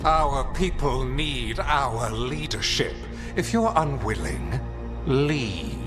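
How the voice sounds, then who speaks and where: A commanding, contemptuous elven god in a fantasy game. He speaks slowly and deliberately in a medium male register, over prominent music. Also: mid-range voice, animated. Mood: imperious, scornful. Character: imperious, scornful male elven tyrant-god. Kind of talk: video game dialogue.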